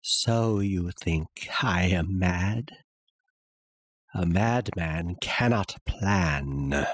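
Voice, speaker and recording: A man voicing an obsessed madman who insists he is sane, in a theatrical, halting dramatic horror reading with a breathy, husky voice. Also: low-pitched, dry recording, clean studio sound, pitched below the performer's own voice, exaggerated voice. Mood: insistent, sly. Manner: halting, theatrical, with long pauses and sudden swells. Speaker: narrator.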